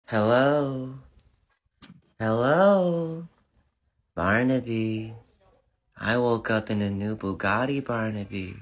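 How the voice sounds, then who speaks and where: Man as lost puppet, bewildered, plaintive, halting, very slow, mid-pitched, comic skit. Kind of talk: fan impression in short comic skit.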